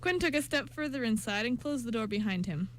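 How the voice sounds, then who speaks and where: A woman's voice: fairly high pitch, lively intonation, fairly quick, slight background noise. A woman reading scripted narration for an amateur radio play, with small stumbles.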